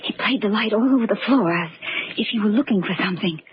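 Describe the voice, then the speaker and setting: An actress playing an eager, loyal confidante in a 1940s radio mystery, earnest and insistent in a theatrical way. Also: female voice, medium pitch, fast talker, lightly acted. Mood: earnest, confiding. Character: eager loyal woman confidante turned amateur sleuth. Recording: dull, noisy old radio recording.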